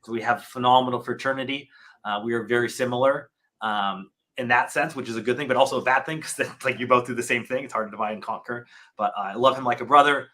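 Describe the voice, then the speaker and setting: American male guest, bright crisp voice, heartfelt and deliberate. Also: mid-range voice. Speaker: podcast guest, startup founder. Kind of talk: casual interview answers. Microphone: video call.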